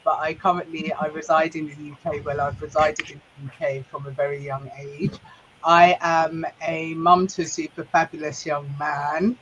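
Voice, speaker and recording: A woman from Ghana, co-hosting a livestream chat, slightly hoarse, friendly and quick to laugh, stopping now and then to rest her voice. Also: sits low, little pitch movement, a bit bright, a little hiss or hum. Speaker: co-host. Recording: slightly dull lo-fi sound.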